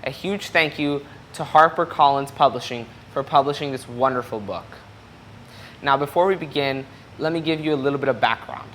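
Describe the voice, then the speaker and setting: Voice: mid-range voice, a bit bright, slight background noise. A person reading a children's picture book aloud expressively, explaining things and putting questions to young listeners. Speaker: storybook reader.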